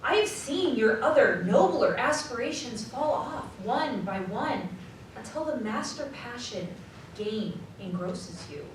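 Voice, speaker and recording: Woman doing a heartbroken young fiancée in a radio play, earnest, measured, highish voice, distant in a big echoey hall. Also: animated. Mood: sad reproach. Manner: earnest, measured, formal phrasing. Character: sorrowful young fiancée releasing her money-obsessed lover. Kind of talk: live radio drama acting, period dialogue. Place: live community theatre stage. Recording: very echoey live hall, distant, faint noise.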